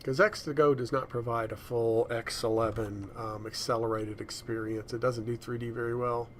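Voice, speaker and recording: A man's voice: medium pitch, fairly even intonation, normal speed. A man giving a casual screencast demonstration, thinking aloud in a rambling way as he works. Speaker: software tutorial presenter. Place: indoors at a computer. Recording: noisy room with keyboard typing. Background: room noise and keyboard typing.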